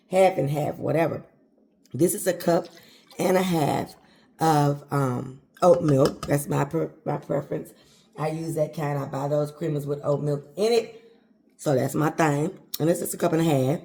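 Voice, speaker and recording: A woman's voice: low-pitched, dark tone. A woman, chatting folksily through a home cooking tutorial and calling her viewers baby and honey. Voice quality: hoarse voice. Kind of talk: casual cooking tutorial chat.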